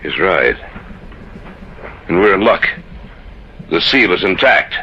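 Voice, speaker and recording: A man's voice: sits low, very animated, slow, pushed and bright, heavily acted. A man acting an assured, cautious archaeologist leading a dig in an old-time radio drama. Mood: pleased. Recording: dull old radio recording, faint noise.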